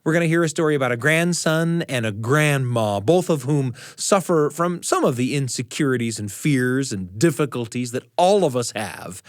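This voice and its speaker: A man's voice: medium pitch, dry recording, clean studio sound. A man hosting a radio show, delivering a scripted introduction to stories.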